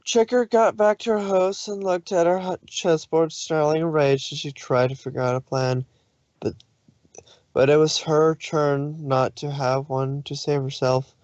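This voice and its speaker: A man's voice: fairly high pitch, steady tone, measured pace, darkish tone, no echo, highs cut off. A man narrating fan fiction in a dramatic storytelling way, sometimes stumbling over his words.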